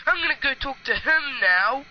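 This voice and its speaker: Man doing an excitable, whiny cartoon guy, muffled. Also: extremely high pitch, normal speed. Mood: tearful, whiny. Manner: melodramatic, shouty. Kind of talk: amateur cartoon comedy sketch. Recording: dull lo-fi recording.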